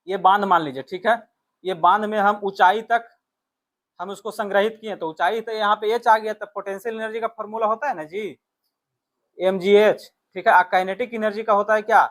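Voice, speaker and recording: A man's voice: high-pitched, a bit bright. A man teaching an exam-prep lesson, explaining instructively and repeating key points. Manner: instructive, repeats points and reads questions aloud in Hindi and English.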